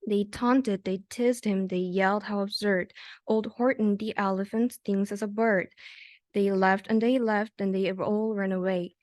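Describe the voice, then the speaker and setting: A young woman reads a rhyming children's tale aloud. Her voice sits at a medium pitch, and the sound is dry, with very little room on it. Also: fairly even intonation, measured pace, darkish tone, no echo. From South Korea. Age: young adult. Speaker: university student reader.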